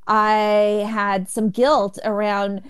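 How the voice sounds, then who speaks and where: Comedian guest in her forties, stutters with sound repeats, clear and smooth tone. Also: medium pitch, level tone, drawn-out words. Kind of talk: interview conversation.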